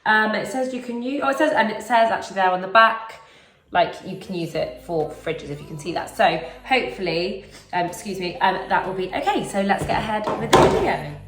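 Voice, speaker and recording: A young woman with a cold-roughened voice, chatting casually to camera in her kitchen and often correcting herself. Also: mid-range voice, lively intonation, brisk. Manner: chatty, rambling, self-correcting. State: hoarse with a cold. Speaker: cleaning vlogger. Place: home kitchen, indoors. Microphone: camera mic at a few feet. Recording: roomy kitchen echo, mic at a distance, soft music.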